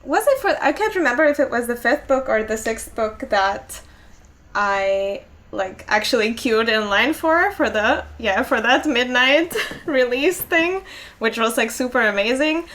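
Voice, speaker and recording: A woman's voice: fairly high pitch, expressive, measured pace, fairly bright tone. A young adult woman, a native Finnish speaker, chatting casually on a podcast, filling her talk with "like" and little laughs. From Finland. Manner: chatty, many likes and laughs. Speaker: podcast co-host. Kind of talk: casual chat.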